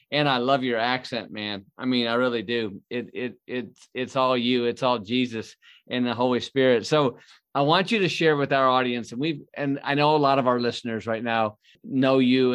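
A man's voice: medium pitch, a bit bright. A man hosting a podcast from a studio, talking in a folksy, hyped-up way full of "man" and fillers. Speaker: podcast host. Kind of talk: casual, enthusiastic interview talk.

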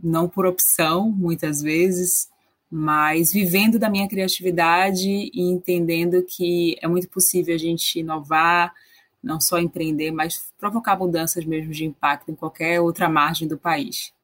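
Uma mulher de Salvador, na Bahia, convidada de podcast que trabalha com inovação social, falando de forma simpática e reflexiva. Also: female voice, lowish voice, fairly even intonation, a little slow, no room sound. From Salvador, Bahia, Brazil. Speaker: guest, social innovation professional. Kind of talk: podcast conversation.

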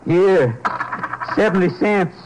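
An actor playing a bitter, desperate ex-convict husband with folksy, uneducated American speech in a 1950s radio drama. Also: male voice, high voice, expressive, takes it slowly, background noise. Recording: old 1950s radio recording.

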